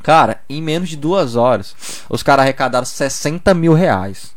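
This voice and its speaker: A man's voice: fairly high pitch, expressive, moderate pace. A young man in Brazil, telling stories in a loose, slangy casual chat full of "cara". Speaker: podcast guest.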